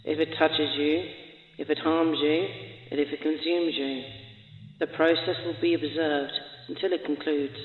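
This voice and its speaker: A man's voice: fairly high pitch, little pitch movement, measured pace. A man playing a cold, clinical prison experiment overseer in an audio drama, formal, detached and menacing, through an echoing announcement filter. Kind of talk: scripted audio drama monologue. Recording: muffled phone-like sound, echoey long reverb.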